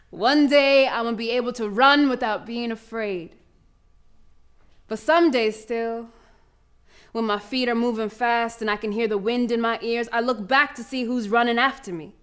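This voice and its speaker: A woman's voice: fairly high pitch, fairly bright tone. An actor playing a fierce young girl runner in an audio play, telling of her grief in earnest, colloquial speech. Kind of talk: dramatic monologue in an audio play.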